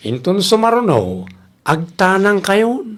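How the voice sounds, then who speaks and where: Actor playing nagging grown kid in an ilocano comedy drama, blunt, medium pitch, ilocano accent. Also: wildly swooping pitch, takes it slowly. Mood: scolding. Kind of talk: Ilocano comedy radio-style drama acting.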